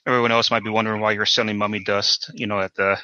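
Very bright, forceful male podcast interviewer, muffled sound. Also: lowish voice, little pitch movement, no echo. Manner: halting, rambling questions. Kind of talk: casual interview chat.

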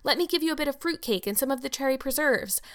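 Earnest storybook girl, played by a woman narrator: high, clear, tearful, given to melodramatic wailing. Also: animated, fairly quick, fairly bright tone. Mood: anxious, pleading. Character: dramatic, tearful, earnest young orphan girl.

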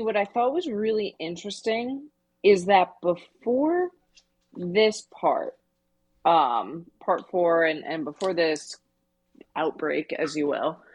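A woman's voice: lowish voice, slightly rough. A woman co-hosting a podcast, discussing stories in an informal, reflective way. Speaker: podcast co-host. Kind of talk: casual book discussion.

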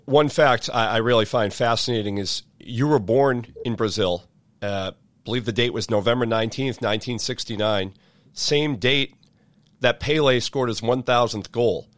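A man's voice: mid-range voice, normal speed, dry recording. Enthusiastic male host, dry close sound. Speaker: interview host. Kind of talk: interview questions.

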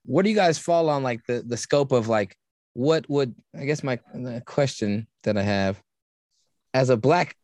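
A man talking casually on a podcast, rambling and halting with many restarts. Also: mid-range voice, animated, a little mellow, dry recording, studio quality.

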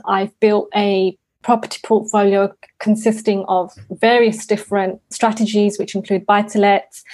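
Steady, earnest woman in her twenties. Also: mid-range voice. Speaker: guest, property investor. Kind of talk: prepared personal introduction.

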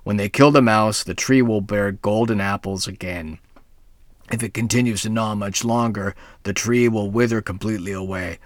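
A man voicing a short-tempered male devil, low and growling, slow and menacing. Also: fairly even intonation, no room sound, lightly acted. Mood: irritated.

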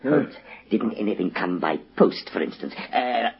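An actor playing a gloating, mock-polite villain with a British accent and a husky voice in an old-time radio drama. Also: male voice, fairly low pitch, lively intonation, moderate pace, full character voice. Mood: gloating menace. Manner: theatrical, mock-polite, taunting, swooping emphasis. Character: gloating, sinister villain, an expert in tropical diseases. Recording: muffled vintage radio broadcast recording.

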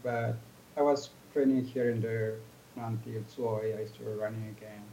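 A man from Ecuador with an Ecuadorian Spanish accent, a native Spanish speaker, answering as a podcast guest, earnest and halting. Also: fairly low pitch, fairly even intonation, creaky, mellow, a little hiss or hum, rough recording. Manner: earnest, halting, searching for words. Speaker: guest runner. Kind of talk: podcast interview.

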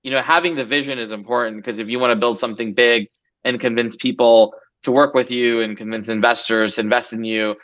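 A man in his forties from Los Angeles talks through his story as an interview guest, looping and relaxed with plenty of fillers. His voice is bright and forceful at a middling pitch. The recording is somewhat dull and lo-fi. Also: fairly quick. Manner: relaxed, rambling, many fillers. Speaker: guest entrepreneur. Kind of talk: casual interview chat.

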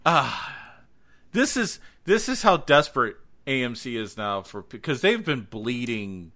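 A man's voice: medium pitch, very animated, measured pace, sharp tone. A man co-hosting a podcast in casual banter, exclamatory and sweary, given to sarcastic ranting. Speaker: podcast co-host. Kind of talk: casual banter about TV and games.

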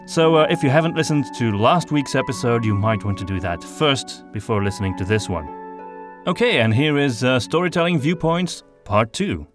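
A man's voice: mid-range voice, animated, normal speed. A man hosting a podcast, giving a spoken introduction to the show in a conversational way. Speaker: podcast host. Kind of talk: episode introduction. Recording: music under the voice. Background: music.

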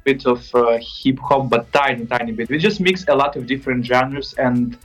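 A man, a band member, speaking in halting English with many pauses and fillers. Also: mid-range voice, fairly even intonation, fairly bright tone, faint music behind.

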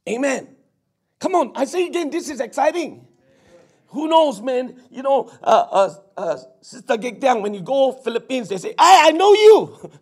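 A middle-aged man with a Singaporean English accent, preaching and praying fervently, his voice hoarse from preaching. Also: very high voice, sing-song, normal speed. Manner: fervent, repetitive, peppered with "Amen". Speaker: pastor. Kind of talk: sermon with prayer. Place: church service.

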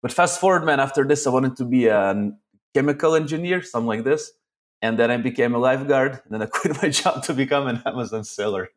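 Relaxed man from Israel, laughing easily. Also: medium pitch, quick pace. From Ukraine, raised in Israel. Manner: relaxed, laughs often, says "man" a lot. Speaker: guest, online seller. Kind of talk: casual interview chat.

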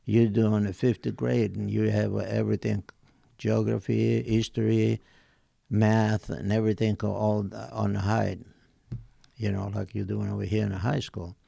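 An Italian man of eighty with an Italian accent, telling his life story in halting, hesitant English as an interview guest. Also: lowish voice, level tone, creaky, mellow, dry recording, clean studio sound. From southern Italy. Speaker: podcast guest, retired tailor.

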